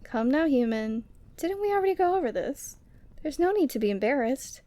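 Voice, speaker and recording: A woman playing a high-voiced, affectionate yeti girl. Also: sing-song, moderate pace, warm tone. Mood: warm, chatty. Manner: tender, coaxing, breathy sighs, drops into whispers. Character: affectionate, teasing yeti girl, lonely and doting. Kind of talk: ASMR roleplay audio drama.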